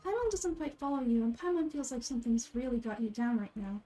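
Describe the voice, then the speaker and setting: A woman voicing a tiny, excitable, nosy fairy companion in amateur game dialogue, exclamatory and speaking of itself by name. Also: on the high side, fast, faint music behind, natural voice. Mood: concerned. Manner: exclamatory, refers to self by name.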